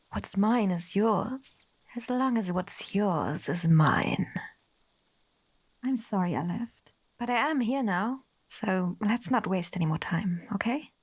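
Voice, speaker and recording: A woman's voice: medium pitch, lively intonation, slow pace, slightly rough. A woman playing an obsessive, possessive and volatile lover with sweet menace in an audio horror drama. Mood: tender, possessive.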